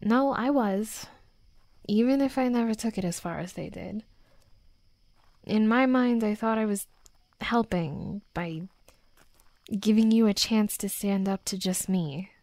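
Unhurried tsundere werewolf girl, acted by a woman. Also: medium pitch, no room sound, close to an everyday voice. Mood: earnest, self-critical. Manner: halting, defensive, teasing, often dropping to soft murmurs. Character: hot-headed, flustered young female tsundere werewolf, softening bully. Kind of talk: intimate roleplay audio drama.

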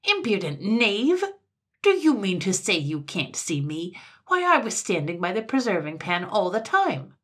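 A woman's voice: mid-range voice, very animated, unhurried, fairly bright tone, slightly rough, voice pushed up, full character voice. A woman voicing a tiny, hot-tempered male mannikin from a storybook, snapping out haughty commands. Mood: furious, indignant. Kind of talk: storybook character voice.

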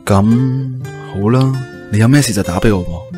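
An actor playing an earnest, devoted young suitor in a radio drama, speaking insistently and with some guilt. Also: male voice, lowish voice, normal speed, close to an everyday voice. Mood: worried. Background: music.

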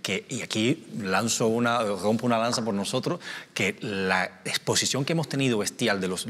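A man's voice: fairly low pitch, fairly bright tone. Man from cuba, forties, panel talk, lots of restarts, lapel mic, reverberant studio. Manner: gestures a lot, many restarts and fillers. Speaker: scientist and science communicator. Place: television studio. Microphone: small lapel mic.

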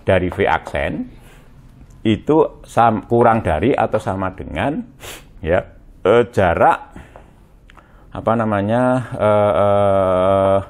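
A man in his sixties with an Indonesian accent, lecturing at a chalkboard in a halting way, with many hesitations and check words. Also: fairly low pitch, lively intonation, darkish tone. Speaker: university physics lecturer. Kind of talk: chalkboard lecture, explaining as he writes. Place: indoor room in front of chalkboards.

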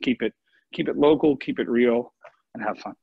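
A man giving a casual cooking demo as a chef over a video call, talking informally and haltingly as he thinks aloud. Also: mid-range voice, gravelly, breathy edge, no echo. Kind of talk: casual live cooking demo, question and answer. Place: home kitchen on a live video call. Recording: muffled dry online video-call sound.

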